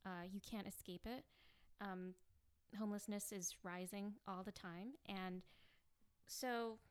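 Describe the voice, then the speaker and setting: A young woman from the Chicago suburbs, a guest in a podcast interview, speaking earnestly and reflectively with pauses. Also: mid-range voice, steady tone, clear, resonant tone. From Chicago suburbs, US Midwest. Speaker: campaign manager, guest.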